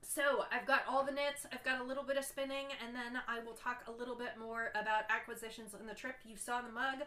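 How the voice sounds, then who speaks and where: A congested woman with a stuffy, nasal voice hosts her knitting podcast, chatting easily with plenty of ums. Her voice is bright and fairly high, and the room around her rings with echo. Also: flat delivery, a little fast. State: congested from a cold. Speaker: podcast host. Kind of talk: casual knitting video podcast chat.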